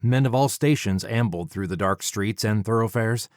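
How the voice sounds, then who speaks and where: A man narrating a first-person story as an audiobook reader, dramatic, measured and deliberate, close to the microphone. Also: fairly low pitch, no echo.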